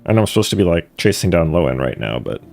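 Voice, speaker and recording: A man's voice: sits low, big pitch swings, fast, gravelly, a little mellow. A man giving casual live-streamed game commentary with offhand, dry asides. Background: faint game music.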